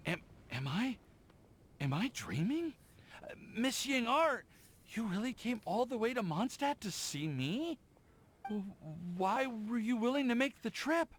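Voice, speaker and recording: A high, swooping young man's voice for a video game. He is shy, adoring and flustered, stammering his way slowly through each phrase. A faint ambience sits behind him. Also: sing-song, a little hiss or hum, heavily acted. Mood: awestruck. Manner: stammering, halting. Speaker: game character voice actor.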